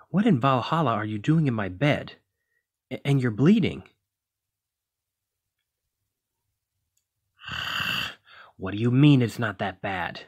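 A man playing a gruff, protective demigod hero in an ASMR roleplay, scolding yet caring, with a husky voice. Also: medium pitch, exaggerated voice. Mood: alarmed, scolding. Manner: exasperated, bossy, caring.

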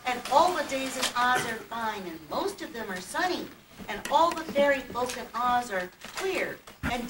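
A woman playing an excitable, jolly puppet storyteller, reciting rhymed verse in a singsong theatrical way. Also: high-pitched. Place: indoor puppet stage, far camera. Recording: distant, echoey, noisy old camcorder tape.

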